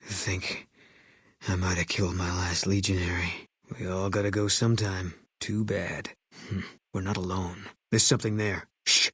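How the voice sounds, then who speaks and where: A brooding sniper companion for a video game. His low, rough-edged voice is guarded and deadpan, giving short military lines. The recording is very dry and close. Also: normal speed, no room sound. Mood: terse, guarded.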